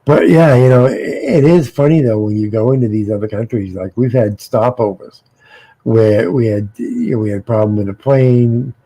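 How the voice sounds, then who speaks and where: A man's voice: mid-range voice, normal speed, warm tone, no room sound, rough recording. A middle-aged American man, co-hosting a casual panel chat, rambling and repeating his words. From United States. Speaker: co-host.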